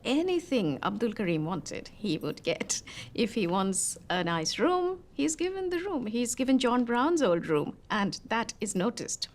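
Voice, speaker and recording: A woman's voice: on the high side, big pitch swings, unhurried, a little hiss or hum. A woman explaining history as an interviewed expert, in short, emphatic phrases.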